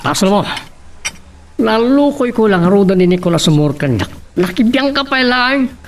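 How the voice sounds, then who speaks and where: A man's voice: sits high, expressive, normal speed, distant mic, a little hiss or hum. A man playing a stubborn, defiant young lover in an Ilocano radio drama, with a Filipino Ilocano accent.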